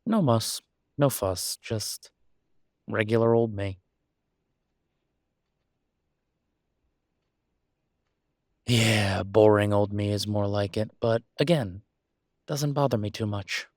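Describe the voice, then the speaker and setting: A man's voice: on the low side, measured pace, a little mellow, dry recording, clean studio sound. A man playing an easygoing, supportive boyfriend in an intimate one-sided roleplay audio, talking casually and reassuringly with folksy turns of phrase. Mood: easygoing, self-deprecating.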